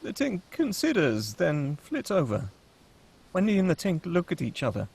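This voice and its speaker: A man's voice: sits high, huge pitch swings, normal speed, creaky, darkish tone, slightly rough. A man reading screenplay stage directions as narrator at a table read.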